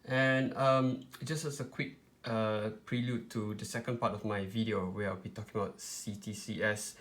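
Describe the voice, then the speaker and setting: A man presenting an informal step-by-step tutorial, explaining in a hesitant, halting way with frequent pauses. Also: fairly low pitch, fairly even intonation, fairly bright tone. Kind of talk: informal step-by-step product demonstration.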